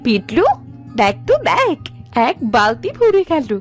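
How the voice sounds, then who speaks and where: A woman acts a poor village mother in a cartoon, careworn and cautious. Her voice is fairly high and very animated, over faint music. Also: unhurried. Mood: amazed. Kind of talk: cartoon dialogue.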